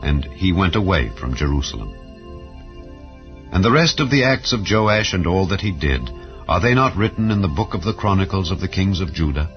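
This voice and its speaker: A man giving a measured, solemn scripture reading over soft background music. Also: sits low, big pitch swings, lo-fi sound. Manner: measured, solemn reading with rising and falling phrases. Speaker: Bible reader.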